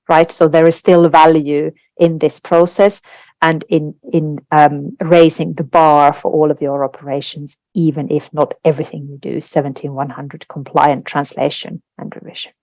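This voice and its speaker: A woman's voice: deep voice, darkish tone, dry recording. A woman whose first language is Finnish, giving a webinar presentation in an explanatory way, with fillers and tag questions. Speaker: webinar presenter on translation standards.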